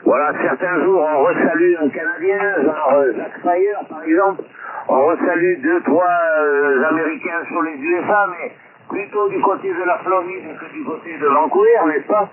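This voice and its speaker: A man's voice: fairly high pitch, moderate pace, a loud crowd. A man chatting as an amateur radio operator, jovial and wordy with flowery phrasing, over a radio transmission. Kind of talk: casual radio sign-off chat. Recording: tinny narrow-band radio link, loud babble of interference.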